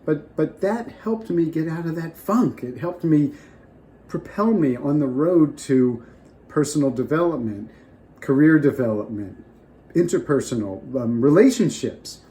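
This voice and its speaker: A man's voice: fairly high pitch, animated, unhurried, warm tone. An older man getting over a cold, giving casual off-the-cuff advice with many restarts. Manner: rambling, restarts often, off the cuff. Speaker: vlogger. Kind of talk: casual advice talk to camera.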